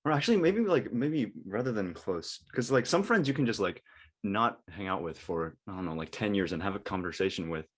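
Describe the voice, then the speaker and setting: Low-voiced young adult, inquisitive. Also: lively intonation, fairly quick, husky. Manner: inquisitive, informal. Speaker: panelist in an online discussion. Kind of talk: casual chat.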